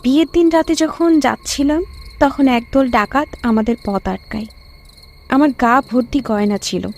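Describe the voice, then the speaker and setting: High-voiced ghost bride played by a woman. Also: a little slow, a little hiss or hum. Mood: sorrowful. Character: sorrowful, eerie ghost of a young village bride. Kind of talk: horror cartoon story acting.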